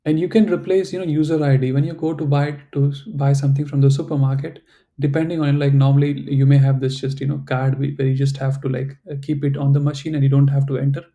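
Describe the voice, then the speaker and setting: A man's voice: medium pitch, level tone, quick pace, mellow. A man teaching a German class over a video call. He explains patiently and fills his talk with "you know" and "right", and English is not his first language. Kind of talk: online class instruction.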